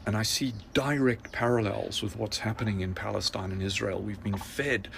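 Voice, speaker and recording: A man's voice: fairly low pitch, fairly even intonation, normal speed, creaky, noisy background. A man, speaking in an earnest, insistent monologue that builds long lists. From South Africa. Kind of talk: impassioned monologue.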